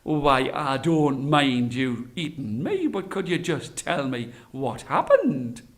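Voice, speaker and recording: Man doing a slow, cunning, puzzled beggar. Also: mid-range voice, very animated. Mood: mild, curious. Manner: slow, drawn-out, innocently puzzled. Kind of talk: children's folktale storytelling.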